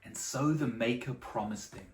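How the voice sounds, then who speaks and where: A storyteller telling a Bible story to children, with dramatic pauses and repetition for emphasis. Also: mid-range voice, darkish tone. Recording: echoey room, distant microphone.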